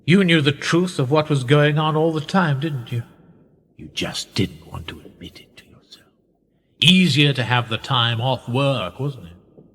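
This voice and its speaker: An actor playing an older, patronising and manipulative establishment man in a radio play, speaking in measured rhetorical phrases. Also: mid-range voice, fairly bright tone, husky, in a large hall. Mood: coolly persuasive.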